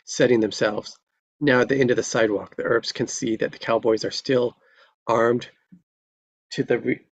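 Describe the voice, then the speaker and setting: A man with a creaky, gravelly voice at a middle pitch reads screenplay action as narrator. The recording is somewhat dull and lo-fi. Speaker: narrator reading stage directions. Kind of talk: scripted narration at a live table read.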